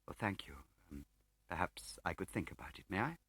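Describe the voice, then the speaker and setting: Man as a quiet, weary English intelligence officer. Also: deep voice, big pitch swings, brisk, slightly rough, dry recording, exaggerated voice. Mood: politely weary. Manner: hesitant, quiet, understated. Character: subdued, weary, polite male intelligence officer. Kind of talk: audiobook character acting.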